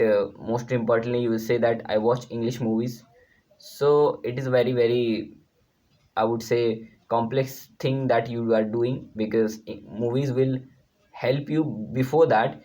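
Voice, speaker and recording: A man with an Indian accent, a native Hindi speaker, gives an informal lesson to viewers as an online tutor, explaining in a halting, repetitive, emphatic way. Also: medium pitch, mellow. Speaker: YouTube language tutor.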